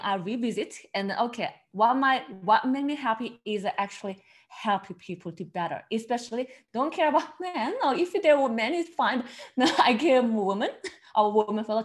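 A woman from South Korea with a Korean accent, an interview guest telling her personal story earnestly in halting, repetitive non-native English. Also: mid-range voice. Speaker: podcast guest, coach.

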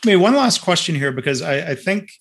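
A man moderating a webinar, relaxed and conversational, reading out audience questions. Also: a little high, a little fast, creaky, slightly rough. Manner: relaxed, many fillers.

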